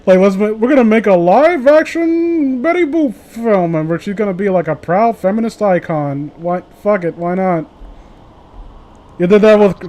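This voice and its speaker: A man hosting a casual group stream, talking in a rambling, excitable way. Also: high-pitched, normal speed. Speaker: host of a live group stream. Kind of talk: casual chat.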